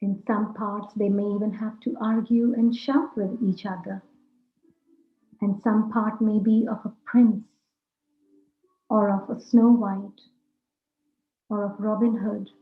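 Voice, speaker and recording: A woman leading a guided meditation talk, speaking deliberately in short, measured phrases. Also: medium pitch, flat delivery. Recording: roomy echo, dull lo-fi sound, music. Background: music.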